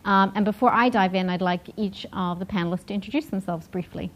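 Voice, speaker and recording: A woman's voice: medium pitch, fast talker. A woman moderating a business panel, upbeat and conversational. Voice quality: upbeat and conversational, tag questions. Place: conference hall.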